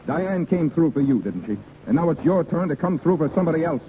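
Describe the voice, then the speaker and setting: A man's voice: fairly high pitch, animated, fast talker, lightly acted. An actor playing an earnest, stubborn younger man, a romantic rival, in intense old radio drama style. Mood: defiant. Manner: intense, declarative, theatrical. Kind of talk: 1930s-40s radio drama acting. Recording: tinny, hissy old radio broadcast.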